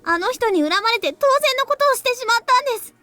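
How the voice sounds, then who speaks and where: A voice actress playing a frightened, remorseful high school girl in a Japanese visual novel. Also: animated, racing, sharp tone, soft background music, heavily acted. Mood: anguished.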